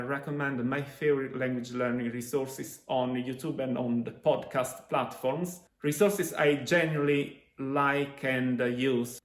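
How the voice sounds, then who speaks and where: A man whose first language is Italian, a language-learning YouTuber talking to camera in a measured way, often pausing with uh. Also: mid-range voice, roomy sound. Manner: deliberate, with frequent uh pauses.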